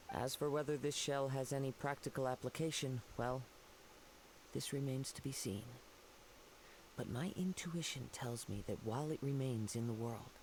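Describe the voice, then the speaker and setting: A man playing a calm, thoughtful wanderer with measured, formal delivery in an anime video game. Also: mid-range voice, background noise, natural voice.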